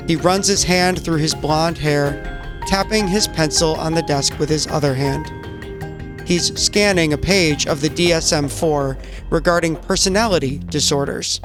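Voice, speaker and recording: Narrator: male, slightly high register, cinematic scene-setting from a script, prominent music bed. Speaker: narrator and player.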